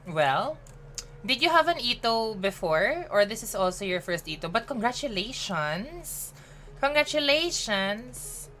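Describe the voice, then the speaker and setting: A woman's voice: a little high, big pitch swings, a little slow, fairly bright tone, slight background noise. A woman, chatting casually on a game live stream, upbeat, mixing in Tagalog and laughing often. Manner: chatty and upbeat, mixes English with Tagalog, laughs often, greets chat by name.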